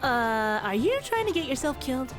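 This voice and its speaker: A woman playing an excitable, plucky young inventor in a retro radio-style adventure serial, speaking earnestly and expressively. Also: high-pitched, moderate pace, music in the background. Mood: urgent, firm.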